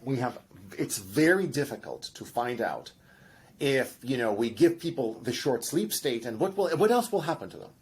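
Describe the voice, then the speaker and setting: Husky-voiced man with a Russian accent; eager professor explaining science, restarts often. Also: medium pitch, sing-song, moderate pace. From Russian by ancestry. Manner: lecturing, enthusiastic, frequent restarts and fillers. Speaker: genetics professor guest. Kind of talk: explanatory interview answers.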